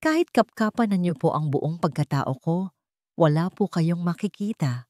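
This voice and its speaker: A woman's voice: lowish voice, expressive, moderate pace, a little mellow, dry recording, studio quality, close to an everyday voice. A woman voicing a frightened, deferential housemaid who pleads her innocence in a dramatic audio story. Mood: tearful pleading. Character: frightened, pleading young housemaid wrongly accused.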